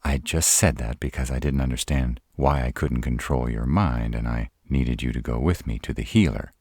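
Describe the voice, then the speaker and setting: Man acting sarcastic male vampire, roleplay drama, low creaky voice, nervous, hesitant, stammering, exasperated. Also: moderate pace, a little mellow, dry recording, clean studio sound. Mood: defensive, flustered.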